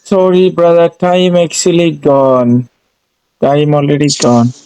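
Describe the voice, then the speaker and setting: A man from India speaks slowly on a video call, with a voice a little higher than most men's. He keeps his phrasing simple and friendly, addressing his partner as brother. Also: flat delivery, warm tone, clean tone, rough recording. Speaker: conversation partner. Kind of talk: casual language-practice chat.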